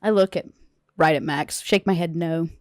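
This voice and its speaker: A woman's voice: sits low, normal speed, a little mellow, no room sound, studio quality. A woman playing a tabletop game, speaking casually as a player.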